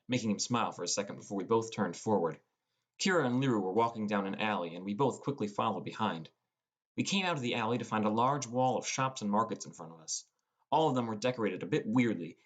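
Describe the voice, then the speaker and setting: A man narrating a scripted first-person fantasy audiobook as a teenage boy gamer. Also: fairly low pitch.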